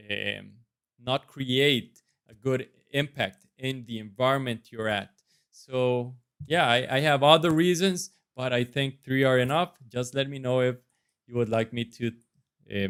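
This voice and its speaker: A man's voice: medium pitch, fairly bright tone, clear, resonant tone. Earnest Costa Rican-accented man. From Costa Rica. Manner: earnest, self-assured. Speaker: residency applicant. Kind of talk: mock interview answers to camera.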